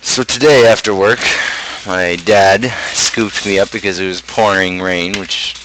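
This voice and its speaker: Young male streamer, low register, casual and opinionated rambling, light noise behind the voice. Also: steady tone, measured pace, a bit bright, low-quality recording. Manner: opinionated, ranting. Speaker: livestream host. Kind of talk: casual rambling livestream chat.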